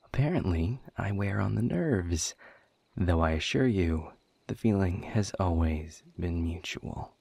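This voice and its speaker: A man playing a sardonic, world-weary demon director in a scripted audio roleplay, speaking in dry, sarcastic, wordy drawls with a husky, breathy low voice. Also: lively intonation, unhurried, warm tone, dry recording, full character voice. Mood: weary, disdainful. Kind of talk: scripted audio roleplay monologue addressed to the listener.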